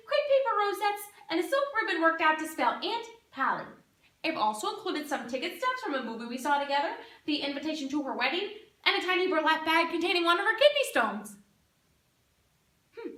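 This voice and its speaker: A woman performing a theatrical monologue as an earnest, perky middle-aged small-town crafts lady and proud mother, with dramatic pauses. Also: extremely high pitch, pushed and bright, exaggerated voice. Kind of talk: competitive speech monologue, dramatic interpretation. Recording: echoey room, distant microphone.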